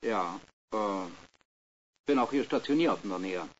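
A man's voice: lowish voice, expressive, a little slow. A man playing talkative passers-by, a soldier and a mechanic, who answer questions with mild teasing, on a lo-fi recording. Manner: talkative, slightly teasing replies. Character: chatty man in mid-life, soldier or mechanic. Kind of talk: scripted language-course role-play. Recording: lo-fi tape with faint hiss.

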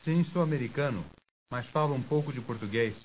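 A man playing a polite American visitor asking for directions in a careful, deliberate language-lesson dialogue. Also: medium pitch, natural voice. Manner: deliberate, clearly enunciated, courteous. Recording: muffled hissy old language tape.

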